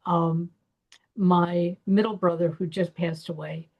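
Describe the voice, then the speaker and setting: Gravelly-voiced woman podcast host. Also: fairly low pitch, level tone, slow pace, darkish tone. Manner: reflective, personal storytelling. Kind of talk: personal conversation.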